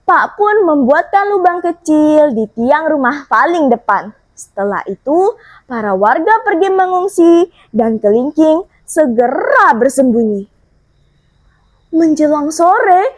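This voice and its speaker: A woman storyteller narrating a folktale in an expressive, dramatic, theatrical way. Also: sits high, takes it slowly.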